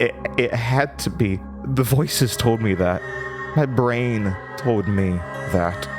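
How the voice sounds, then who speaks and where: A man playing a troubled, trapped young woman, lonely and obsessive, in a halting, intense horror audio drama monologue. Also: fairly low pitch, close to an everyday voice. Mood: haunted, lonely. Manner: halting, stammering, brooding, intense.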